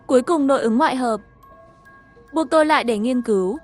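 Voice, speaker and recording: A woman's voice: high voice, a little fast. A woman reading a scripted first-person story as its narrator, with music behind her.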